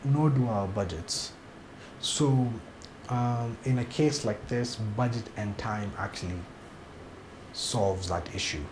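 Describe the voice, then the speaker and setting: A man's voice: on the low side, slow pace, background noise. Blunt, frank man in an echoey room. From Kenya. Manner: frank, emphatic, blunt opinions. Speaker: TV series director. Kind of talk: sit-down interview.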